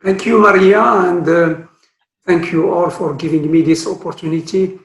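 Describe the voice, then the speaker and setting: A man in his sixties from Morocco, a retired guest speaker telling his career story with many pauses and fillers. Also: high voice, fairly even intonation, vocal fry. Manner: hesitant, many fillers and restarts. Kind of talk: career talk. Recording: echoey room.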